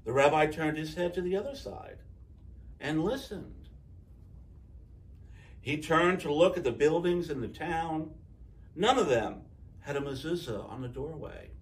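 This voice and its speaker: Higher-voiced man reading a children's story, off-mic. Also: a little slow, pauses often, distant mic. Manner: animated, acting out dialogue. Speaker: storyteller reading a picture book.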